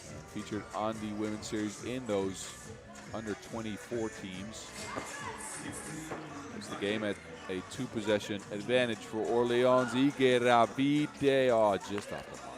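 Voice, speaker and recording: A man with a Dutch accent giving fast live basketball play-by-play, his energy rising with each play. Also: on the low side. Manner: energetic, rising with the action, self-mocking asides. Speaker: play-by-play commentator. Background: music.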